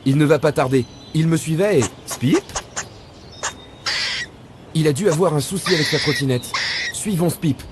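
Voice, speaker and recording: Man playing an eager, high-voiced cartoon adventurer. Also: moderate pace, long pauses, very noisy, lightly acted. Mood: curious, concerned. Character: eager, friendly young male cartoon adventurer. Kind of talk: cartoon voice acting.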